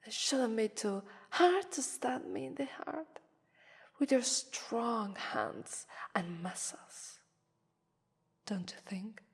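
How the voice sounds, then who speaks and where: A woman's voice: on the high side, lively intonation, heavily acted. A woman with an Italian accent voicing a haughty, mocking female vampire who toys with her prey in a theatrical ASMR roleplay. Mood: taunting. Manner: mocking, theatrical, drawn-out phrasing with breathy whispers. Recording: long reverberant hall echo.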